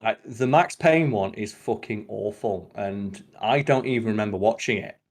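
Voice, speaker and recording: A man's voice: fairly low pitch, normal speed. A man hosting a casual podcast chat, opinionated and sweary. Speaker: podcast host.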